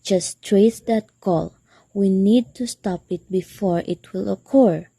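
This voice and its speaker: Young woman acting a muffled, husky, anxious wife. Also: medium pitch, slow, mellow, dry recording, exaggerated voice. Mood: indignant, urgent. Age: teenager. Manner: insistent, imperious. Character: anxious, demanding, sickly married woman. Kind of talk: amateur radio drama acting.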